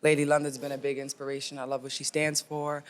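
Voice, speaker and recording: A woman with a deep, raspy voice, chatting casually as a podcast guest in a laid-back, joking way. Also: level tone, fast talker. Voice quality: deep raspy voice, often taken for a man's.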